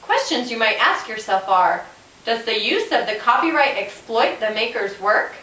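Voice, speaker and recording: Woman as a high, lilting police officer puppet. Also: sing-song, moderate pace, pushed and bright. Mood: instructive. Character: helpful police officer puppet, guest classroom speaker. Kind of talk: scripted educational puppet show. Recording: roomy, distant microphone.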